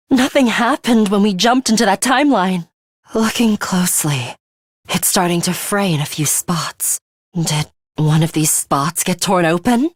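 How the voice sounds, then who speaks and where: A proud young woman from a dramatic video game. She speaks very slowly and haughtily in a breathy voice, recorded close and dry. Beneath the imperious manner she is fatalistic and quietly caring. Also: mid-range voice, no echo. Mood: alarmed. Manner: haughty, deliberate, breathy delivery with hushed drops.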